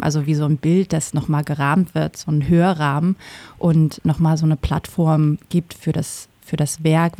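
A man's voice: a little high, dark tone, dry recording. A man giving conversational interview answers as an organizer, searching a little for his words.

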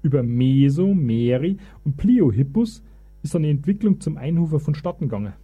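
An actor playing an eager, pedantic Bavarian husband who lectures as a self-styled expert in a comic radio play. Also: male voice, a little high, animated, moderate pace, mellow, breathy edge, faint music behind. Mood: pompous lecturing. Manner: pompous, long-winded.

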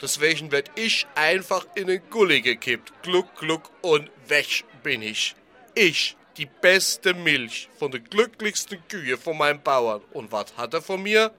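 A man's voice: a little high, expressive, unhurried, sharp tone. A man playing a naive, plaintive little milk bottle in a radio play, with a Rhineland accent and a simple, folksy, questioning manner. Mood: tearful, plaintive. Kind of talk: German political radio play.

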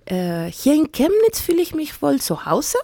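Chilean woman, middle-aged, non-native German, hesitant and thoughtful, mellow timbre, dry studio. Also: sits high, wildly swooping pitch, moderate pace, vocal fry, dry recording. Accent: Chilean Spanish. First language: Spanish. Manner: thoughtful, hesitant, many fillers. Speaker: interviewee. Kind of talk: casual radio interview.

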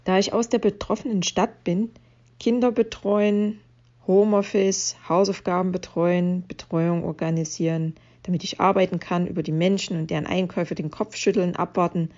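A woman's voice: lowish voice, fairly even intonation, moderate pace, warm tone, natural voice. A woman playing a busy working mother's online comments in a radio collage, on a dull home recording. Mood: matter-of-fact.

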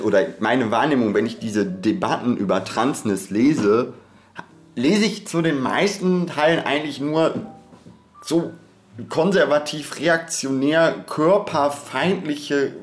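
A man's voice: medium pitch, reverberant. A man speaking off the cuff in a podcast discussion, in an academic, wordy way, often breaking into his own sentences with asides. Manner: academic, wordy, digressive with frequent self-interruptions.

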